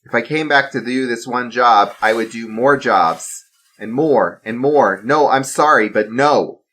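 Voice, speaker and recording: A man's voice: fairly high pitch, sing-song, moderate pace, a bit bright, pitched above the performer's own voice. A man voicing a blunt protective father. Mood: defiant. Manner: reassuring to his son, defiant to enemies. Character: protective retired assassin father, steady and blunt. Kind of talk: amateur storytelling.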